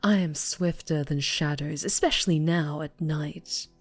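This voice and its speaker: A woman playing an obsessive female superhero captor in an ASMR roleplay, speaking in breathy coos and whispers with sweet, possessive menace. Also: sits low, a little slow, faint music behind, exaggerated voice. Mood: quietly threatening. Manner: breathy cooing that slips into whispers and soft menace. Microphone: close microphone.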